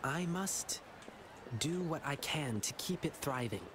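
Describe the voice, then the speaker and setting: A man's voice: on the high side, animated, slow. An earnest young lion dancer boy from a video game, kind and eager to help. His delivery swings with lots of melody, encouraging and polite, over a faint layer of noise. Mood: earnest, thoughtful. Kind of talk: English game voice acting.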